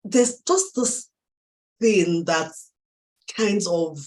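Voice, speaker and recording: A woman with a Nigerian accent hosting a live interview, affirming her guest warmly and laughing often. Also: lowish voice, extremely slow. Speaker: host.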